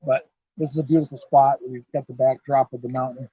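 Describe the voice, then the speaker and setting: Easygoing husky-voiced middle-aged man outdoors. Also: mid-range voice, flat delivery, brisk, dry recording. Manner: easygoing, chatty, folksy. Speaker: car club president and garage owner. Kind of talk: casual on-site interview. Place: outdoors at an evening car show. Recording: dull lo-fi live stream sound.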